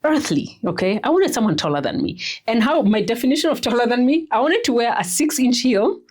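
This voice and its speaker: A woman's voice: a little high, animated, fairly quick, darkish tone. A woman in her fifties, a roundtable guest who reflects and tells stories in casual chat. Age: 50s.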